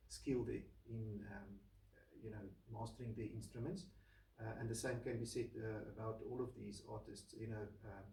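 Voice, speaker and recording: A man hosting a casual audio equipment video, speaking to viewers in a halting, deliberate and measured way at home. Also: mid-range voice, gravelly, warm tone. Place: room at home. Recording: roomy echo, distant microphone.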